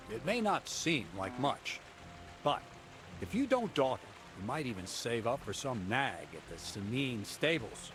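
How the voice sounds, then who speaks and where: A man playing a gruff, mocking miller boss. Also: mid-range voice, close to an everyday voice. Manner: dry, mocking, bossy. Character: gruff middle-aged male miller boss.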